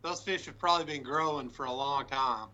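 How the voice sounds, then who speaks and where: A man's voice: mid-range voice, brisk, sharp tone, muffled recording, a little hiss or hum. A man as the guest on a casual livestream interview, chatting in a friendly way with lots of fillers. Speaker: guest, lure painter.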